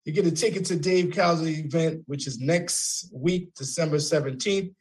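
Halting male radio host. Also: a little high, a little mellow. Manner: halting, repeats words, many fillers. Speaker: radio talk show host. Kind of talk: casual call-in interview.

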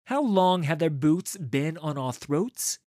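A man acts out a charismatic young male rebel leader addressing followers in an audiobook. The delivery is deliberate and persuasive, with wide, expressive pitch movement and a dry, close sound. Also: gravelly, no room sound, exaggerated voice. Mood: rising fury. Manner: persuasive, deliberate, impassioned speechmaking. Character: gentle young male cult leader turning fierce orator. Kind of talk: dramatised audiobook dialogue.

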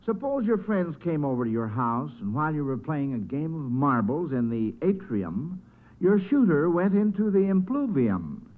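A man's voice: a little high, big pitch swings, normal speed. A man hosting an old-time radio quiz show in a folksy, encouraging way. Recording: thin phone-like vintage radio recording.

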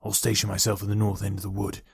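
A man voicing a cold, commanding villain in an audiobook adventure, giving clipped, low-key orders. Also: completely flat delivery, quick pace, vocal fry, husky, full character voice.

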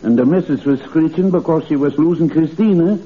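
A deadpan Swedish-accented janitor, tinny radio. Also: male voice, a little high, fairly quick, slightly rough. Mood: deadpan griping. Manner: deadpan, plainspoken. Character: dry, grumpy working-class Scandinavian immigrant janitor and father. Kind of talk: old-time radio comedy acting. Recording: tinny, band-limited old radio, faint noise.

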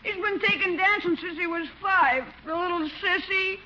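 A noisy, muffled vintage radio recording of a young schoolboy with a very high voice. He is whiny, sulky and slangy, a wisecracking kid from 1940s radio comedy. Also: fairly even intonation, normal speed. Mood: dejected, whiny.

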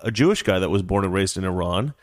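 A man's voice: sits low, animated, fast talker, dry recording, studio quality. A man hosting a casual commentary show, rambling and opinionated with a joking edge. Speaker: show host.

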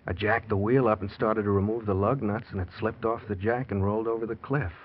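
Actor as a husky, scheming husband in radio noir. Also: male voice, fairly low pitch, steady tone, brisk, close to an everyday voice. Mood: evasive concern. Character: scheming guilty husband feigning tender concern, secretly nervous. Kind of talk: old-time radio drama acting and confession narration. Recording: muffled vintage radio recording.

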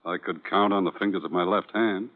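An actor playing a sardonic Broadway producer, biting and theatrical, in an old-time radio crime drama. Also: male voice, low voice, steady tone, a little fast. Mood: defensive, bitter. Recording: tinny, band-limited vintage radio recording.

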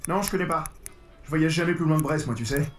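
A chatty, insistent French guy who won't let things go, played by a male actor. He talks quickly in a slightly high voice, in natural audio-fiction style. A clear music bed sits behind him. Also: close to an everyday voice. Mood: teasing. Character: talkative, fixated male friend bothered by noise.

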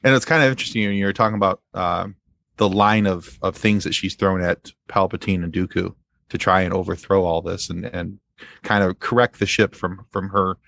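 Low, gravelly man in a dry recording. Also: steady tone, moderate pace, fairly bright tone, no echo. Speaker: podcast guest. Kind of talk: conversational fan discussion.

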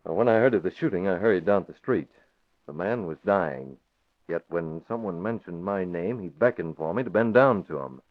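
An actor playing a shrewd young male newspaper publisher in an old radio drama, speaking in a measured way. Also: low voice, animated, no room sound. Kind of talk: 1940s radio acting. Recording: thin, dry, phone-like old radio recording.

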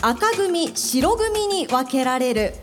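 A woman's voice: sits high, sing-song, takes it slowly, heavily acted. A woman speaking playfully in live radio drama and quiz banter. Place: live event in a shopping mall. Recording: live event with music behind.

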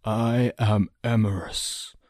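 A performer as a slow, wheezy, kindly old man. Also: medium pitch, fairly even intonation, a little mellow, no echo, studio quality, close to an everyday voice. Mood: kindly, grateful. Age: elderly. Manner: slow, gracious. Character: old male traveler, wheezy, kindly, gently twinkling. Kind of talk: audiobook character acting.

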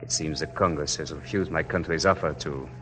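An earnest, very deep-voiced officer. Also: male voice, steady tone, brisk, background noise. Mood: solemn. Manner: formal, earnest. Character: earnest, loyal young French general. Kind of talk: 1940s radio drama acting.

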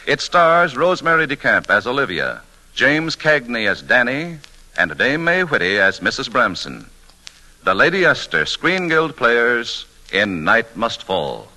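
Animated, grand male radio announcer. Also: medium pitch, measured pace, poor audio. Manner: grand, theatrical. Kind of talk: formal 1940s radio announcing. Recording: old radio broadcast with music behind.

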